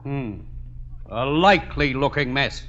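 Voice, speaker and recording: Skeptical insurance man, clipped and businesslike, bright edgy voice, muffled old radio comedy. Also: medium pitch, lively intonation, unhurried. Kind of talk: 1950s radio comedy acting. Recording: dull, faintly noisy old radio recording.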